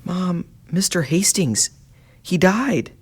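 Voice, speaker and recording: Woman playing an earnest, expressive young boy. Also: fairly low pitch, takes it slowly, slightly rough, heavily acted. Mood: sad. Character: earnest, grieving young boy, polite to adults. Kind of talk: children's puppet show drama.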